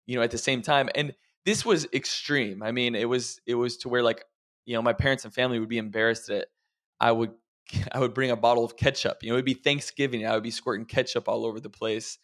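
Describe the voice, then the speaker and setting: A man with an ordinary mid-range voice talks things through in a relaxed, reflective way, often turning to his listeners to encourage them. He sounds like a health coach in an easygoing podcast conversation. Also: mid-range voice, fast. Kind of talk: casual podcast chat.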